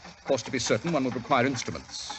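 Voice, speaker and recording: An actor playing a cool, superior English gentleman detective with a British accent and crisp, precise manner in a 1940s radio drama. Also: medium pitch, lively intonation, fast, lightly acted, rough recording. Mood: cool, amused. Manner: crisp, precise, lecturing, unruffled. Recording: old radio recording with faint hiss.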